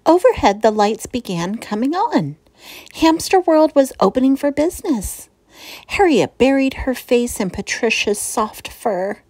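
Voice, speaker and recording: Female picture-book narrator: unhurried, very animated, deliberate emphasis, dry close sound. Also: fairly high pitch, no echo. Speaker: storyteller reading aloud to children.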